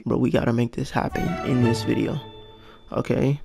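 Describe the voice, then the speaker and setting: Gravelly man thinking aloud over a game. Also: mid-range voice, normal speed, music in the background. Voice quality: raspy. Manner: thinks aloud, excited and unsure. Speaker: gaming channel host. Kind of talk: casual game commentary.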